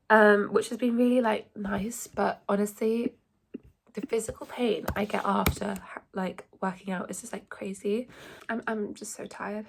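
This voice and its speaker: A woman's voice: medium pitch, gravelly, husky. A young woman talks in a loose, scattered way, hopping from thought to thought. Her voice sounds stuffy and congested from a lingering cold. She sits at home in a shared house and chats casually to the camera. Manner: chatty, rambling, scattered. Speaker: beauty vlogger. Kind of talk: casual get-ready-with-me chat.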